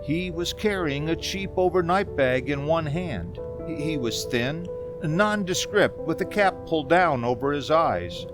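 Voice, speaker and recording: An actor playing an uneasy, haunted motorist who tells his story in a hesitant, deliberate radio drama style. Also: fairly high pitch, animated, over music. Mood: uneasy, brooding. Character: uneasy, haunted male motorist recounting a strange journey. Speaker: narrator and lead actor. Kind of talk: radio drama monologue, old-time radio acting.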